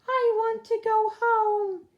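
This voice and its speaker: A man voicing a small, tired young rabbit who wails in a squeaky falsetto in a storybook reading. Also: level tone, slow, reverberant, highs cut off. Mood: wailing, exhausted.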